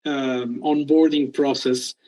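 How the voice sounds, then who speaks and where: A middle-aged man from Peru, a guest telling his career story in an interview, with many pauses and restarts. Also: mid-range voice, level tone, mellow, poor audio. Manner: hesitant, many ums and restarts. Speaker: guest entrepreneur. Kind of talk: casual interview talk.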